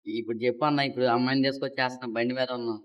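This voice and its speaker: Young man, pleading prank caller, quick pace, narrow pitch range, crowd noise. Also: level tone, clear, resonant tone. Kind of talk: prank phone call, casual chat.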